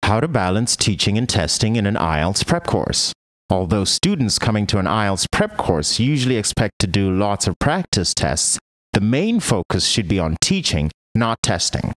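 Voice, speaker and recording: A man with a low-pitched voice narrates a scripted training explainer. His delivery is measured and orderly, moving through the material point by point like an instructional voice-over. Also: a bit bright.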